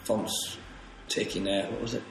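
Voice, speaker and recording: A man's voice: fairly low pitch, level tone, measured pace, gravelly. A young man, a touring musician, rambling through tour stories on a podcast, laughing and swearing freely. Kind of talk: casual podcast storytelling. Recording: roomy echo, faint noise.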